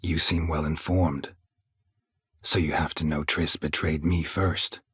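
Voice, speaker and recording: Gruff game monster hunter, super raspy low voice, dry and deadpan, close dry sound. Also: male voice, unhurried, dry recording, exaggerated voice. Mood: dry, firm. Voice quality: low, gravelly, husky. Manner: terse, dry, deadpan, clipped sentences. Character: gruff, weary, sardonic male monster hunter for hire. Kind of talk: video game character dialogue.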